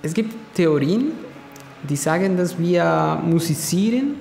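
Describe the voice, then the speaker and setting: A man with a Spanish accent answers questions in halting German, reaching for the right words. His voice sits fairly high and has a gravelly edge. The sound carries a long, roomy echo. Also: animated, a little mellow, soft background music. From Latin America. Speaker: music student. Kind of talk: interview answers.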